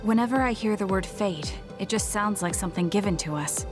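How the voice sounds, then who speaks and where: A woman's voice: mid-range voice, fairly quick, music in the background, lightly acted. An actress playing a cool, guarded, logical young woman soldier in a video game, speaking in a reserved, blunt, matter-of-fact way. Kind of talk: English video game voice acting.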